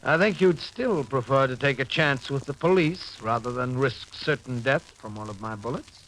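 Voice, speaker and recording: An actor playing a mocking, menacing escaped convict, calm, deliberate and sardonic, in 1940s radio style. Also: male voice, mid-range voice, close to an everyday voice, rough recording. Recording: old radio recording, faint noise.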